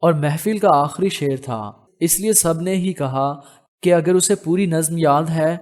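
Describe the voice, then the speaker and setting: Higher-voiced man narrating a romance novel. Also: measured pace, darkish tone. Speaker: audiobook narrator.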